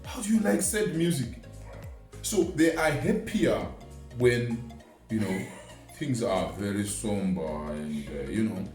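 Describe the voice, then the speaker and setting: Echoey, expressive man in conversational motivational talk. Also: lowish voice, slow pace. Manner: illustrates points with everyday examples and imagined quotes. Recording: echoey room, distant mic, music underneath. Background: music.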